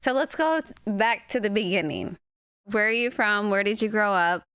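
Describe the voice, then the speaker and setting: A woman's voice: mid-range voice, animated, normal speed, a bit bright, clear, resonant tone, no room sound. Host: woman, mid-range voice, dry close sound, friendly and encouraging. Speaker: podcast host. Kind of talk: interview hosting.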